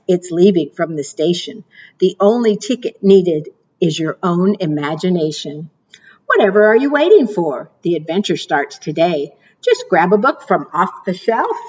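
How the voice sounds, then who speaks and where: Woman, children's librarian, unhurried, sing-song, muffled lo-fi sound. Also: fairly low pitch. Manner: slow sing-song, lively and encouraging. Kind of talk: preschool storytime reading.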